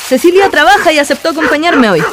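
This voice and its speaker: A woman's voice: sits high, expressive, quick pace, background noise. A woman playing a polite, keen young history student in an educational radio drama.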